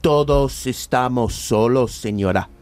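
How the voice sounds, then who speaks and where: A man's voice: mid-range voice, a little mellow, natural voice. An actor playing a bitter, greedy old businessman, a mocking and menacing villain in a radio play. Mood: cold, menacing. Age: older. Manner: mocking, menacing, slow emphatic delivery.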